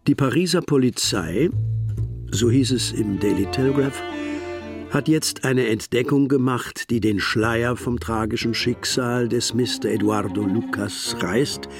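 A man narrating a German radio drama as a detective's companion recalling a case, in a measured, literary storytelling manner. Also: mid-range voice, vocal fry, music in the background. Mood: measured, reflective.

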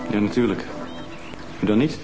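A man's voice: fairly low pitch, normal speed, close to an everyday voice. A man acting a defensive, callous younger widower, brusque and indignant in a TV crime drama. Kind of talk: naturalistic TV crime drama dialogue. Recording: echoey room under prominent music.

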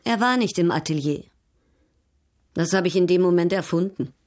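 An actress playing a worldly, haughty European baroness in a German radio drama, formal, poised and coolly ironic. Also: female voice, on the low side, animated, moderate pace, a little mellow, close to an everyday voice. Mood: cool amusement.

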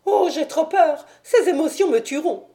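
A woman doing a shrill, panicking nervous man. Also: lively intonation, measured pace, darkish tone. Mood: terrified. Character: frantic nervous man, near tears.

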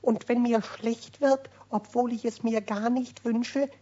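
A woman's voice: fairly high pitch, steady tone, a little slow, dark tone. A woman playing a naive, greedy little childlike goblin who asks literal, inquisitive questions in a children's radio play. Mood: curious. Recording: dull, lo-fi old radio recording.

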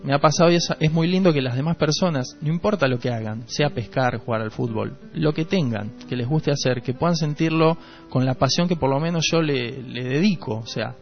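A man with a Rioplatense Argentine accent talks in a dull, lo-fi radio recording with faint music underneath. His voice sits at a middling pitch. He reflects earnestly, often restating a thought for emphasis. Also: fairly quick, few pauses. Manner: earnest, rephrases and repeats for emphasis. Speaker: musician and producer, radio guest. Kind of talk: reflective conversational interview.

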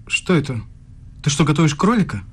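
A man's voice: a little high, very animated, fairly quick, husky. An actor playing a jealous young male student with a sardonic, needling manner in a radio play. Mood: mocking.

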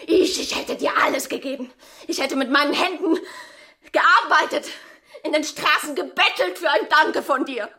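An actress playing a desperate, passionate married woman in a German radio play, with intense delivery and a breathy voice. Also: sits high, normal speed, sharp tone. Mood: bitter, pleading outburst. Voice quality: breathy, often whispering. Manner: intense, dramatic.

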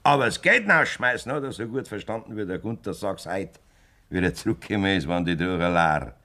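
An actor playing a blunt, scheming middle-aged farmer with a Bavarian accent in a German radio play. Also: male voice, sits low, animated, brisk, pushed and bright, exaggerated voice. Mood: calculating, boastful. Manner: blunt, domineering. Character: hard, scheming farmer demanding a divorce from his wife.

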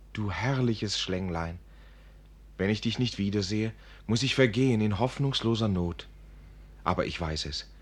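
Lovesick young student, lowish husky voice, fervent and despairing declamation for classic radio drama. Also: male voice, a bit bright, full character voice. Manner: fervent, lamenting, flowery declamation. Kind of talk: German classic radio play acting.

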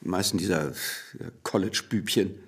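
An actor playing a wry, impatient lawyer in a radio drama, needling and insistent, with a gravelly, husky voice. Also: male voice, low-pitched, brisk. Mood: gruff, disapproving. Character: wry, impatient lawyer courting a married woman. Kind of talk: German radio drama acting.

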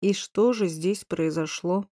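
A woman reader plays a mild police investigator in a story. He is courteous and formal, placing his words with measured care. The sound is close and dry. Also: on the low side, dark tone, dry recording. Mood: businesslike. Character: polite, timid male police investigator.

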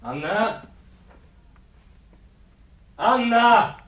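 A man's voice: high-pitched, animated, extremely slow, reverberant, exaggerated voice. An actor playing a courteous, formal male innkeeper in a Dutch radio play, heard on a muffled, noisy recording from a distance. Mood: courteous, businesslike.

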